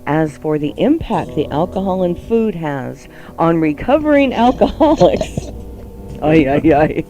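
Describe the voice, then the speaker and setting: A woman's voice: deep voice, expressive, unhurried, dark tone, faint music behind. A playful woman hosting a radio cooking show, exclaiming and often laughing through her banter and reading.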